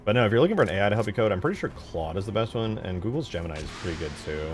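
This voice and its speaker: Low-voiced man muttering, music behind. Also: lively intonation, very fast. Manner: informal, muttering to himself, thinking aloud. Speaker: streamer, game modder. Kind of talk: casual live commentary while scripting a game mod.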